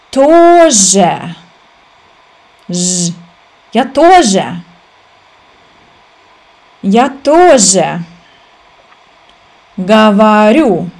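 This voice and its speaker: A woman in her thirties teaching a language, reading short phrases aloud and repeating them slowly, sometimes syllable by syllable, recorded indoors on a webcam. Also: medium pitch. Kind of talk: slow reading drill for learners.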